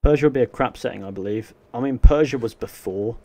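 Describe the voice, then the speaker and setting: A man's voice: medium pitch, fast talker, darkish tone. Casual young man livestreaming. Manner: rambling, joking, answers chat questions. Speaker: gaming livestreamer. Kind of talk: casual chat while playing a game live.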